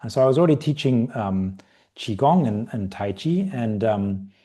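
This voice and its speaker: A middle-aged man from Britain who grew up partly in Asia, talking casually as an interview guest in a reflective, halting way. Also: mid-range voice, animated, mellow, slightly rough. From Britain, raised partly in Asia. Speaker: podcast guest, yoga and qigong teacher trainer.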